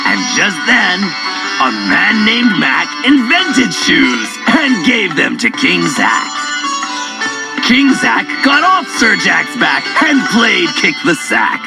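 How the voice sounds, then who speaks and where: High-voiced, very animated male storyteller. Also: creaky, rough recording. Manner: theatrical, playful, drawn-out delivery. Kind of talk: animated children's story narration. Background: music.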